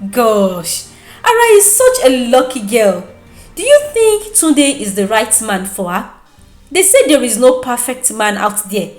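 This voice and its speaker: A woman's voice: on the high side, sing-song, measured pace, echoey room, faint music behind. A woman with a Nigerian accent voicing a scheming, nosy young female friend in a gossipy, theatrical, goading manner. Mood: gossipy, admiring.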